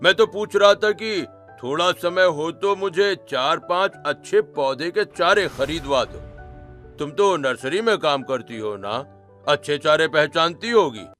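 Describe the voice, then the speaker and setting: Slightly high-voiced polite middle-aged father acted by a man. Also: lively intonation, a little slow, a bit bright, faint music behind. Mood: polite, friendly. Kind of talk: animated story dialogue.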